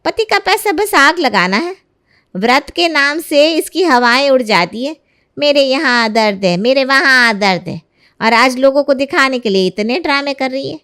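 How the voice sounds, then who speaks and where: A woman's voice: sits high, expressive, unhurried, pushed and bright, pitched above the performer's own voice, heavily acted. A woman voicing a jealous, sarcastic middle-aged aunt who grumbles pettily in an acted storybook tale. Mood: bitter griping. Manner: sarcastic, complaining. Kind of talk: acted Hindi storybook narration.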